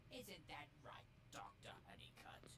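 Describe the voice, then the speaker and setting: A man's voice: fairly high pitch, very animated, a little slow. A man playing a cruel, impatient alien warlord in a comic dub, curt, menacing and contemptuous. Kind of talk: comic dub villain acting. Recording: noisy playback with ambience.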